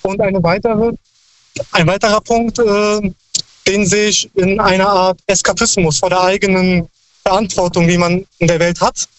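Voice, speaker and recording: A man's voice: high-pitched, flat delivery, moderate pace, no echo, low-quality recording. A man calling in to a radio show over the phone, earnest and wordy, making his points in long lecturing sentences. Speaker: caller. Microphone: phone line.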